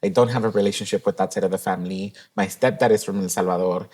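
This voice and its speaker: A man's voice: deep voice, fairly even intonation, quick pace, darkish tone. A man co-hosting a podcast in casual chat, reflective and heartfelt, slipping Spanish phrases into his English. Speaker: podcast co-host.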